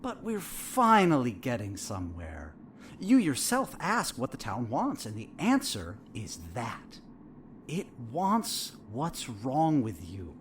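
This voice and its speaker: A man playing a sinister, smug therapist in a horror audio drama, measured and theatrical, with a falsely soothing, condescending manner. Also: medium pitch, huge pitch swings, fairly bright tone, a little hiss or hum, full character voice. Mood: probing, needling.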